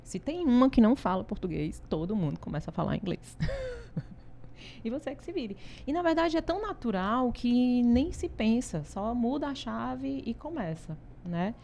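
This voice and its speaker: A woman from Ceará, Brazil, speaking with a Northeastern Brazilian accent as an interview guest, telling stories in an animated way, laughing often and asking "né?" a lot. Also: on the high side, normal speed, gravelly, a little hiss or hum. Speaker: interview guest, consultancy founder. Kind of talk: casual interview talk.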